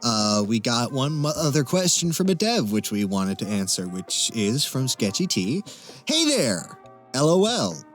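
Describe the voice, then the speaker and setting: A man's voice: mid-range voice, very animated, unhurried, faint music behind. A man chatting casually as a Q&A guest, talking in a hesitant, wry and self-deprecating way. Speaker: interview guest, amateur voice actor. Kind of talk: casual Q&A chat.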